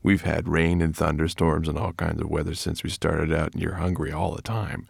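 A man's voice: very deep voice, flat delivery, normal speed, gravelly, breathy edge, no room sound, clean studio sound, full character voice. A man voicing a kind, sensible young boy who speaks plainly, earnestly and protectively in a storybook. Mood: teasing.

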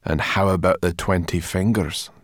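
A man's voice: sits low, steady tone, moderate pace, dry recording, clean studio sound, lightly acted. A man voicing a wary, skeptical female brownie who grumbles her doubts.

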